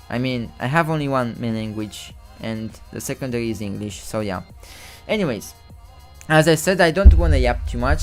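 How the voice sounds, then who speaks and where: Young man with a Romanian accent. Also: medium pitch. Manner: rambling, restarts and stumbles over words. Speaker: live-stream host. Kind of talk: casual live-stream commentary.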